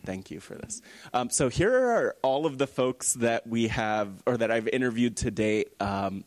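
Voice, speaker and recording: A man presenting informally at a live event, friendly and thinking aloud as he goes. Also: fairly low pitch. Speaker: nonprofit storytelling director presenting.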